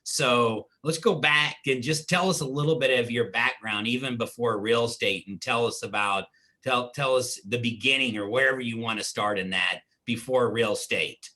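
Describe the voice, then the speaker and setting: Man, bright and crisp-edged tone, medium pitch, casual meeting host, interviewer. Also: normal speed.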